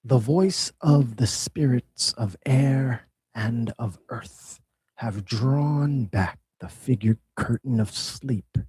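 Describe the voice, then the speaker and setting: A chorus of spirits risen from the deep, murmuring in a slow, breathy whisper, voiced by a man. the tone is low and dark. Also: dry recording.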